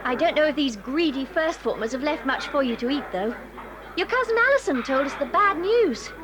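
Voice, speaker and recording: A friendly English boarding-school girl in a children's radio play. The voice is high and swoops with eager, exclamatory gossip. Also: lively intonation, brisk, background noise. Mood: warm, excited. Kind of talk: children's audio drama acting.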